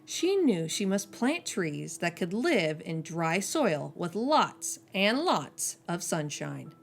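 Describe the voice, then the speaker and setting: A woman reading a children's picture book aloud as a storyteller, slowing down and dropping soft and low on each refrain. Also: big pitch swings, vocal fry.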